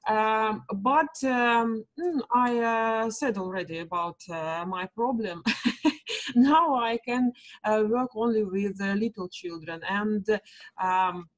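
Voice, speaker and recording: A Russian woman with a Russian accent, a primary school teacher, speaking halting English as a student with many pauses and fillers. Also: on the high side, poor audio. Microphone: video call.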